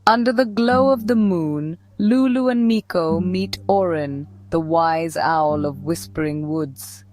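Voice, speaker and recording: A woman's voice: lowish voice, takes it slowly, darkish tone, no room sound. A woman narrator hosting a children's story channel, reading a scripted tale for young listeners.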